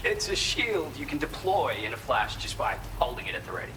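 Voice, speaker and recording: A man's voice: medium pitch, big pitch swings, a little fast, natural voice. An actor playing a laid-back, chatty male gear trader in a video game, talking in slangy, eager sales patter. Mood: upbeat, helpful. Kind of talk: video game cutscene acting. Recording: clear noise, rain behind the voice. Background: rain and ambient noise.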